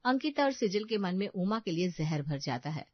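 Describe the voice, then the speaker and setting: A woman's voice: lowish voice, big pitch swings, normal speed, no echo, lo-fi sound. A woman giving a scripted narration of a story.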